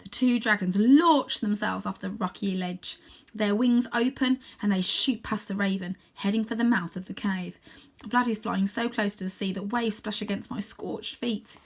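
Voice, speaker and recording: A woman narrating a children's story in a dramatic, expressive, suspenseful way with clear emphasis. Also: mid-range voice, moderate pace. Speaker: storybook reader, teacher.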